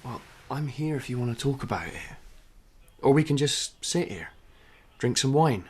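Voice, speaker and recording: Patient concerned guy, mid pitch, rough husky voice, natural radio drama, gentle. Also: lively intonation, moderate pace. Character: patient, concerned male partner or friend.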